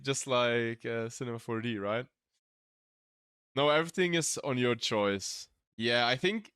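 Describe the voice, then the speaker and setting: A man with a German accent chatting casually as a streamer reacting to a video, pausing to search for English words. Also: medium pitch, a bit bright, clear, resonant tone, dry recording, studio quality. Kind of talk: casual live-stream chat.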